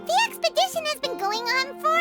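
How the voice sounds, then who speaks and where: Squeaky, very high-pitched little fairy. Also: female voice, animated, brisk. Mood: curious, excited. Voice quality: squeaky, childlike. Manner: exclamatory, refers to herself in third person. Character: small childlike floating fairy companion, excitable, chatty, curious. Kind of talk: video game voice acting. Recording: loud music under the voice.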